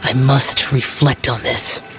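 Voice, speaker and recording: A man's voice: mid-range voice, flat delivery, slow, natural voice. A man voicing a stoic, ancient yet youthful-sounding immortal demon slayer in an anime-style video game, formal, solemn and terse. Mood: grave. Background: video game music.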